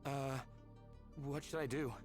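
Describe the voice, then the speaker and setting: A man's voice: medium pitch, steady tone, slow pace, music in the background, natural voice. Nervous, hesitant sci-fi guy played by a man. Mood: awkward, uneasy. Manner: hesitant, awkward, polite. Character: nervous, hesitant man meeting an alien creature. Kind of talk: visual novel voice acting.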